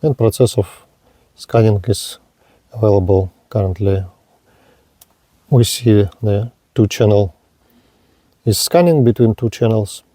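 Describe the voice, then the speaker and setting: Slow, careful man demoing a radio. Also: fairly low pitch, dark tone, no room sound. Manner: halting, careful, with pauses and fillers. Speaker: radio reviewer. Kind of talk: slow hands-on product demo narration.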